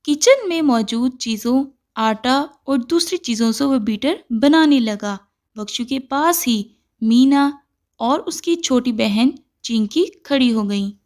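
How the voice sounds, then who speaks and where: A woman with a high voice narrates a moral story for children. Her delivery is scripted and measured, with the instructive manner of a storyteller. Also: animated, darkish tone.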